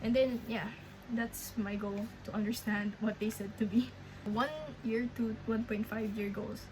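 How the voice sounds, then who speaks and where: A young woman with a Filipino accent, Filipino her native tongue, talking slowly and rambling, with many restarts, in a casual vlog update. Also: on the high side, little pitch movement. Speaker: language learning vlogger. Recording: clear background noise.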